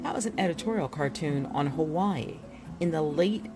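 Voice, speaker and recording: A woman giving an expressive, deliberate reading of an illustrated book as a narrator, with dramatic delivery. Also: sits low. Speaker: reader presenting a book chapter. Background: music.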